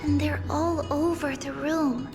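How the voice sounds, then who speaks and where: A woman's voice: sits high, fairly even intonation, slow pace. A woman voicing an earnest, composed young girl in an anime game. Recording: loud background music.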